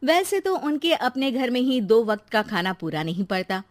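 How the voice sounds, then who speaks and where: A woman's voice: fairly high pitch, sing-song, normal speed, dry recording. A woman narrating a scripted moral story for a cartoon in a storytelling style.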